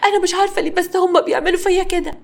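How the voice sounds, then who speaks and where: Woman acting out a high, whiny, scheming young wife. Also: lively intonation, very fast, darkish tone. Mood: whiny, wheedling. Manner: theatrical shrieking and fake crying. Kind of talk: dramatized audio story.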